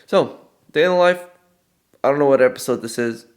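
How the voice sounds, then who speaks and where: A young man vlogging his day and casually talking through trading charts, relaxed and self-deprecating, with frequent fillers. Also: medium pitch, a little fast, slight background noise. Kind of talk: casual vlog and market commentary.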